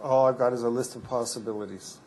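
Brief: male medical researcher at a lectern, explanatory and halting, mellow timbre. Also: medium pitch, steady tone, a little hiss or hum, poor audio. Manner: explanatory, frequent restarts and fillers. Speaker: medical researcher presenting findings. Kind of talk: academic conference talk with Q&A.

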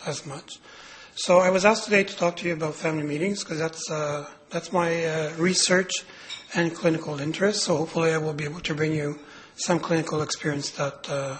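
Man, hoarse raspy voice, clinical lecture, hesitant delivery, somewhat high pitch. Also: fairly bright tone, a little hiss or hum, poor audio. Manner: hesitant, many fillers and restarts. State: raspy from a cold. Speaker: clinical psychologist giving a lecture. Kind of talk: medical lecture.

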